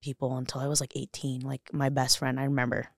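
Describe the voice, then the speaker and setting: A woman's voice: very deep voice, flat delivery, fast, gravelly, no room sound, clean studio sound. A young adult woman, a podcast guest, speaking earnestly and emotionally, with many fillers and restarts. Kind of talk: candid interview conversation.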